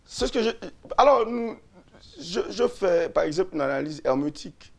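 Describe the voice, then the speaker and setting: A man, a lawyer and radio guest, explaining constitutional articles slowly, repeating and restarting phrases. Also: sits high, animated, vocal fry. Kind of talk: explaining constitutional law on a radio talk show.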